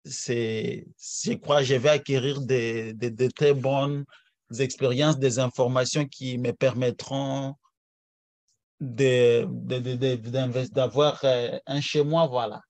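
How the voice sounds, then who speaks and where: A man introducing himself on a video call, speaking haltingly with many repeated words and restarts. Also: medium pitch, steady tone, darkish tone, no room sound. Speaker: workshop participant. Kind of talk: personal introduction.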